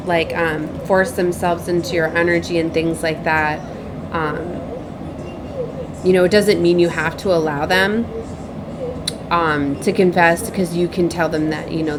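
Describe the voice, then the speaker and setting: Woman, lowish voice, online tarot reader; halting, repetitive, earnest warnings to viewers; clearly noisy ambient recording. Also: steady tone. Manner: halting, repeats herself, circles back. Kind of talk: improvised spiritual message to viewers.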